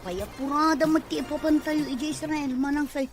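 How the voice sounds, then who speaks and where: A woman's voice: sits high, steady tone, a little fast, music in the background, close to an everyday voice. A woman acting a hopeful, reassuring young woman in an Ilocano drama, with an Ilocano accent. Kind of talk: amateur Ilocano radio-style drama acting.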